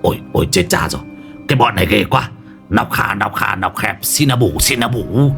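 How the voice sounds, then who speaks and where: A man voicing a jittery old sorcerer who mutters spells in a rapid, breathy voice. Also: on the low side, over music. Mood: frantic.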